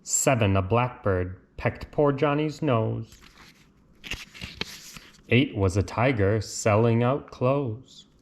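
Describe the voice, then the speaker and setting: A man reads a children's storybook aloud in a deliberate, lilting way, with casual asides. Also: medium pitch, a little hiss or hum. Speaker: reader of picture books.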